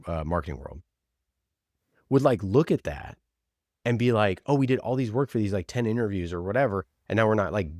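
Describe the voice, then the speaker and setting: A man's voice: low-pitched, darkish tone, dry recording, clean studio sound. A man giving conversational marketing advice as an interview guest, informal, opinionated and emphatic, often voicing imagined quotes. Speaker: podcast producer, interview guest.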